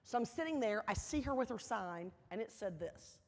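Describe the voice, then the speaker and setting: A woman giving a motivational speech, telling a personal story deliberately, with dramatic pauses and emphatic repetition. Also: a little high, expressive, darkish tone. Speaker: keynote speaker. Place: large hall, speaking to an audience. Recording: echoey hall, long reverb.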